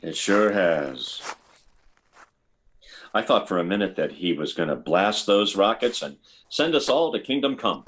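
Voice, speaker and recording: A man acting a measured, clinical military doctor in a 1950s-style radio drama. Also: low voice, animated, a bit bright. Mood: rueful, musing.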